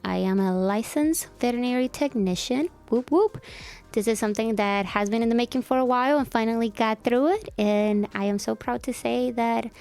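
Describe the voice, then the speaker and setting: A woman's voice: on the high side, normal speed, darkish tone. A woman in her twenties from El Salvador, co-hosting a casual podcast and chatting about herself with a self-deprecating, easygoing manner. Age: 20s. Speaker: podcast co-host. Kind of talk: casual chat.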